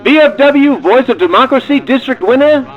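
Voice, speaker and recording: A man's voice: very high voice, expressive, moderate pace. A man narrating a comedy radio play in a scripted mock-documentary style. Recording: dull lo-fi sound, music behind. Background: background music.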